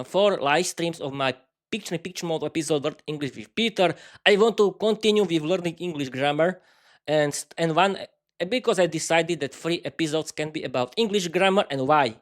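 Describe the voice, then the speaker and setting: Halting Slovak-accented man rambling. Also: on the high side. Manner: halting, frequent restarts and fillers. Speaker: podcast host. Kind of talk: unscripted solo monologue.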